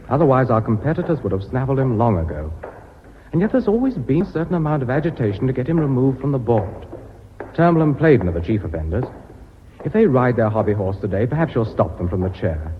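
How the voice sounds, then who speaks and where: A brisk British company heir in a radio drama, genial and businesslike. He talks fast in a lowish, lively voice on a dull old recording. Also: slight background noise. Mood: confiding. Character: brisk, loyal male heir running family firm.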